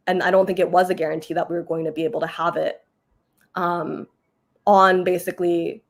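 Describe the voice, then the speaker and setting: Slightly hoarse young woman, reflective. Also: fairly low pitch. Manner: thoughtful, with many fillers. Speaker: exhibit organizer. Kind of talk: reflective discussion.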